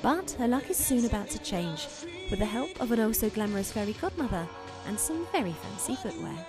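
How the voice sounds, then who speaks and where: A woman with a slightly high voice narrates over a clear music bed. Her delivery is scripted, in the style of an entertainment feature. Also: big pitch swings, a little fast.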